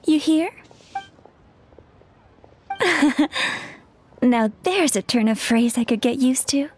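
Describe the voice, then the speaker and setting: A woman's voice: sits high, expressive, takes it slowly, darkish tone, a little hiss or hum. An actress playing a firm, caring and playful young woman in a video game, a little bossy and teasing. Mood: amused. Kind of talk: video game character dialogue.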